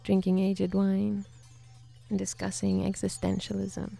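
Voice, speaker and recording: A woman from Sweden with a Swedish accent, chatting casually as a studio guest and then reading her poetry slowly and deliberately. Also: mid-range voice, completely flat delivery, vocal fry, warm tone, faint music behind. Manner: laughs easily, reads poetry slowly and deliberately. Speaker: guest poet. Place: radio studio.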